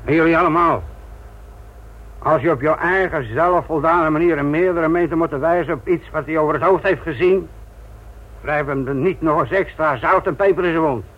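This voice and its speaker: An actor playing a sardonic, gruff older police instructor in a Dutch radio play, patronising and deliberate in manner. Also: male voice, a little high, natural voice. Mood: patronising, stern. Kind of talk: Dutch radio drama acting. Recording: thin, narrow-band old radio recording.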